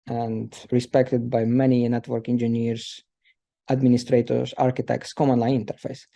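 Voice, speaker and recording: A man in his thirties from Ukraine presents a technical session for an audience. His voice is gravelly and mellow, with a low, dark color. He talks loosely, filling gaps with uh and um. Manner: loose, filler-heavy speech with many uh and um. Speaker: presenter, systems engineer.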